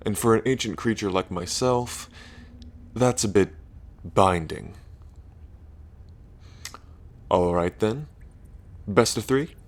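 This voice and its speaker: A man playing a lonely ancient dragon king in roleplay ASMR, proud yet bashful, with halting, deliberate phrasing. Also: fairly low pitch. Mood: intrigued. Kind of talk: roleplay ASMR audio drama.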